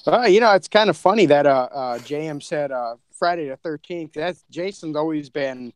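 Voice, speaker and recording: A man's voice: a little high, normal speed, smooth. A man chatting informally as a panelist on a podcast. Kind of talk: casual chat.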